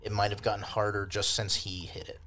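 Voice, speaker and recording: Low-voiced man running a tabletop game. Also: flat delivery, brisk, a bit bright, slight background noise. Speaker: game master of a tabletop role-playing podcast. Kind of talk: casual improvised game narration and rulings.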